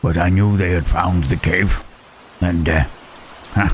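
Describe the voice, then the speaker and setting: Gentle lonely creature played by a man, very low voice, slow halting delivery, ASMR roleplay, rain and thunder behind. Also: steady tone, a little hiss or hum. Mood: regretful, earnest. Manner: halting, hushed, careful speech with long pauses. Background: rain and thunderstorm ambience.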